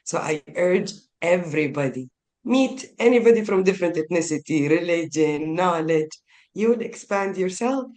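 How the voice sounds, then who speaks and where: A woman's voice: lowish voice, lively intonation, moderate pace, a little mellow. A woman speaking as a guest at an online business summit, effusive and earnest, over a video call.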